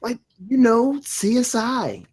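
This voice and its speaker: A woman playing a slow-witted, earnest slacker dude who cleans pools, in a comedy table read. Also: on the high side, very animated, a little mellow, husky, full character voice. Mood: earnest, clueless. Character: dim, laid-back slacker dude pool cleaner.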